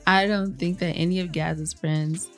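A woman's voice: lowish voice, fairly even intonation, measured pace, soft background music. A woman co-hosting a podcast, chatting casually, laughing often and playfully embarrassed. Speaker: podcast co-host. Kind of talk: casual banter.

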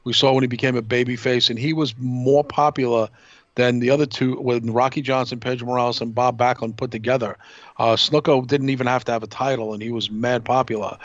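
A man talks at a middling pitch. The recording is dry and a little lo-fi. He co-hosts casually, laying out stories in short, measured pieces. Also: fairly even intonation, no echo. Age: 50s. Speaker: podcast co-host. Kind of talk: casual reminiscing panel chat. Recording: dull lo-fi audio.

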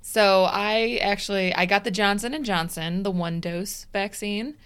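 A woman's voice: medium pitch, normal speed, fairly bright tone, clean tone. A young woman co-hosting a podcast, telling a story in casual chat and acting out her own texts. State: recovering from vaccine side effects. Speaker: podcast co-host.

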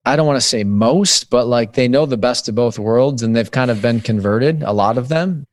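A man's voice: mid-range voice, moderate pace. A man in his late thirties, a guest author talking through ideas in a podcast interview, with frequent restarts as he thinks aloud. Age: 30s. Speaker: guest author on digital wellness.

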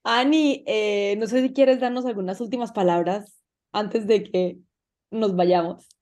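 A Colombian woman hosts a relaxed interview podcast. Her voice is soft-edged and mellow, at an average pitch, and she speaks in a casual, conversational way. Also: measured pace. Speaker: podcast host.

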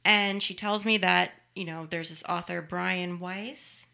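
A woman's voice: fairly low pitch, fairly even intonation, measured pace, creaky, pushed and bright. A woman producing a podcast, telling a story in a chatty, casual way and laughing easily.

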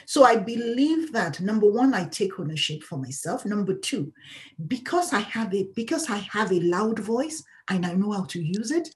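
A woman's voice: medium pitch, sing-song, moderate pace, darkish tone. A woman guest in an interview, talking candidly as a patient advocate in an emphatic, outspoken way and laughing often.

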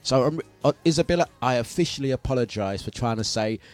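A man with an East London accent hosting a radio breakfast show, giving casual shout-outs and jokey, self-mocking banter, laughing often. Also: medium pitch, quick pace. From England. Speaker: radio DJ host. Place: radio studio. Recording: music playing under the voice. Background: music bed.

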